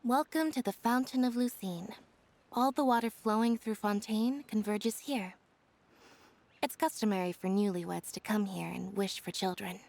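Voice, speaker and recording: A woman playing a deadpan, reserved young woman. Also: mid-range voice, gravelly, slight background noise, lightly acted. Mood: composed, informative. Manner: measured, deadpan. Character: calm, reserved young woman, a magician's sister, matter-of-fact. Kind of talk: video game cutscene voice acting.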